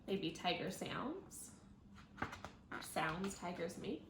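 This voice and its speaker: A woman teacher reading a children's nonfiction book aloud, thinking out loud and guiding young listeners as she goes. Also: fairly low pitch, expressive, drawn-out words. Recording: roomy echo, audible background noise.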